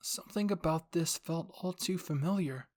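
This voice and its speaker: Narrator reading dramatic fan fiction, mid-pitched voice. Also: normal speed, darkish tone. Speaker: narrator and voice actor of all characters.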